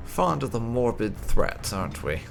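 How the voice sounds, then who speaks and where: A man's voice: medium pitch, big pitch swings, moderate pace, creaky. A man giving let's play commentary as a gaming host, with dry, sarcastic asides and casual swearing. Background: game music and sounds.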